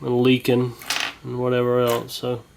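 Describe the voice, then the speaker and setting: A man casually narrating his repair of an old radio, relaxed and rambling, with plenty of ums and uhs. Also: medium pitch, normal speed, gravelly, slight background noise, low-quality recording. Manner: relaxed, rambling, many fillers.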